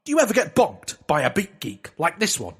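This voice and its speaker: An actor playing a small, angry company boss in a comedic audio drama, blustering and threatening in old-fashioned tough slang. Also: male voice, fairly high pitch, huge pitch swings, fairly quick, husky, full character voice. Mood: irritated.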